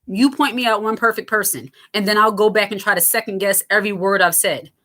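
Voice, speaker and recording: A woman in her thirties with a raspy, lower voice, hosting a casual commentary that answers viewer comments. She talks in a frank, lighthearted way, with lots of fillers and restarts. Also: fairly even intonation, a little fast, a bit bright. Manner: fillers and self-interruptions. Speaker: host.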